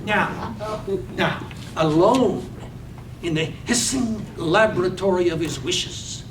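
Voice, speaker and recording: A man narrating a poetic radio drama in a dramatic reading. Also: slow. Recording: roomy, distant microphone, faint rumbly noise.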